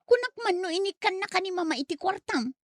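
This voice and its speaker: A woman's voice: very high-pitched, expressive, fast, no room sound, studio quality. A woman playing a wheedling young villager with an Ilocano accent in an audio comedy drama.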